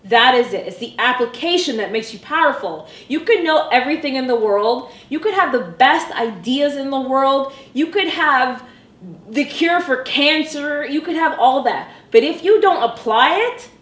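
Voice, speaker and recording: A woman's voice: high voice, expressive, normal speed, fairly bright tone, roomy sound. A woman who coaches women in empowerment, speaking earnestly and reflectively in a documentary interview and building emphasis through repetition.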